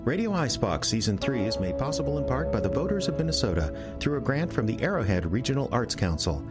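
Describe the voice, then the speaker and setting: A man's voice: medium pitch, big pitch swings, fast, loud music behind the voice. A man hosting and announcing a radio drama show, reading credits and an earnest appeal to listeners. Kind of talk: scripted announcement.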